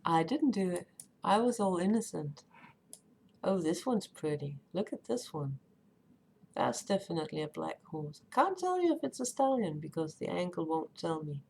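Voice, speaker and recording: A woman with a Danish accent chatting casually on a live stream, often pausing with "um" and "uh" as she searches for words. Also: fairly low pitch, sing-song, vocal fry, darkish tone, slight background noise. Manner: hesitant, many ums and uhs while searching for words.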